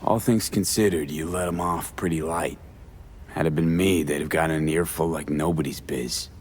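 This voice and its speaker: A man acting a steady, tough mercenary in a video game, speaking in a terse, matter-of-fact way. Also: rumbling low, moderate pace, breathy edge. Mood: calm, practical. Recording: music playing behind the voice. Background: game music.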